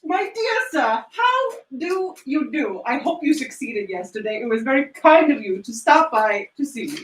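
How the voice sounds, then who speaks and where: A woman's voice: sits high, big pitch swings, moderate pace. A woman playing a reformed old-story miser, a man, in an effusive, exclamatory theatrical reading. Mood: excited, generous. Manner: exclamatory, effusive, breathless repetition. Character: reformed miser hero, giddy, frantic, overjoyed man. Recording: roomy, distant microphone.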